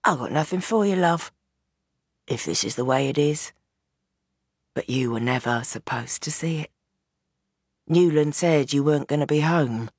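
A woman's voice: very high-pitched, normal speed, bright, forceful tone, no echo, clean studio sound, pitched above the performer's own voice. A woman voicing a panicked male gang boss who gabbles and pleads, then turns cold. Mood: cold, resigned.

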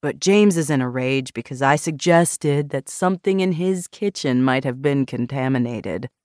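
A woman voicing a calm, unworried male doctor in a novel, in a matter-of-fact manner. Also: a bass voice, normal speed, no echo, studio quality, voice pushed down.

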